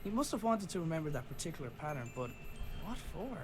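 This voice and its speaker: An actor playing a wry, gentle inventor's son who narrates his thoughts in an adventure game. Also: a little high, big pitch swings, fast talker, noisy background. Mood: wry, curious.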